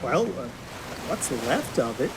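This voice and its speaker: A man playing a cheeky, excitable, nosy young friend with a lively, teasing manner in an audio drama. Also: fairly high pitch, measured pace, creaky, full character voice. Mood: wry. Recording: noisy waves and ship ambience.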